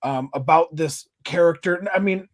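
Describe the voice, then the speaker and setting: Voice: mid-range voice, normal speed, no echo, studio quality. A podcast host asking questions in a casual interview, playful and joking.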